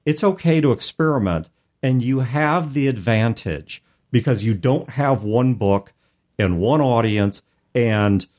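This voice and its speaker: A man's voice: medium pitch, expressive, measured pace, darkish tone. A man hosting a podcast in a casual, conversational style, discussing and giving advice. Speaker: podcast host.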